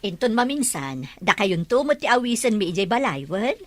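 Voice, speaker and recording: A woman's voice: medium pitch, very animated, moderate pace, no echo. A woman playing a caring middle-aged aunt and mother in an Ilocano radio drama. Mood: encouraging.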